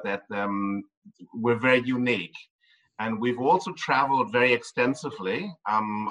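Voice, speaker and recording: A man's voice: lowish voice, bright, forceful tone, far from the mic, rough recording. A man who grew up in Ireland and Germany, a tour company owner giving loose, rambling interview answers full of ums.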